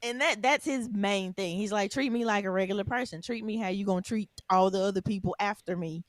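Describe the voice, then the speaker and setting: A woman's voice: medium pitch, fairly quick, no echo, clean studio sound. Woman explaining things with lots of ums. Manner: rambling, self-correcting storytelling with many ums. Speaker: podcast co-host. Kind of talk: casual chat.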